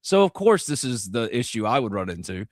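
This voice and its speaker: A man's voice: mid-range voice, big pitch swings, a little fast, no room sound, clean studio sound. A man from North Mississippi, hosting a live sports podcast in a rambling, joking, self-deprecating way. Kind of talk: casual live sports chat.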